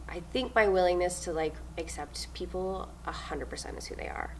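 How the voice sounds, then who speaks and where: A young woman from New Zealand answers interview questions with a loud, upbeat delivery and frequent laughs. Her voice sits a little low and has a gravelly fry to it. Faint noise hums under the recording. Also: poor audio. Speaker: stage manager.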